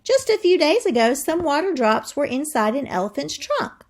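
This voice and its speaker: A woman's voice: high-pitched, big pitch swings, normal speed. A woman reading educational material aloud as a teacher, in an instructive manner that addresses the listener directly. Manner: instructive, asks the listener questions. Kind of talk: educational read-aloud with explanatory asides.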